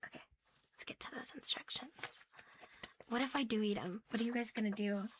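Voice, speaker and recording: A woman hosting a soft ASMR show-and-tell in a playful, cutesy catgirl persona, breathy and often slipping into a whisper. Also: fairly high pitch, a little fast, slight background noise. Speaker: ASMR host.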